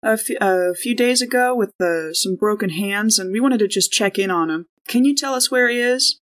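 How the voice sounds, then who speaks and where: A woman's voice: medium pitch, normal speed, fairly bright tone. A woman playing a tabletop role-playing game, chatting informally and thinking aloud as she improvises. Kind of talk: casual improvised tabletop role-play chat.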